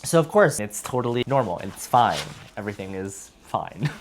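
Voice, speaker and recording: A man's voice: lowish voice, very animated, normal speed, a little hiss or hum. A man from the United States with an American accent, talking casually and reflectively to viewers, explaining with hedges and emphasis on key words. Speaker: vlogger, heritage language learner.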